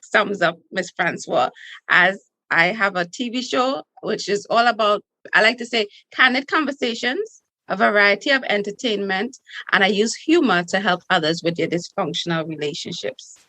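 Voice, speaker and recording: A woman's voice: medium pitch, moderate pace, sharp tone, no room sound, rough recording. A woman in her forties with a Trinidadian accent, a podcast guest talking in a brash, playful, boastful way. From Trinidad and Tobago. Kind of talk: podcast interview.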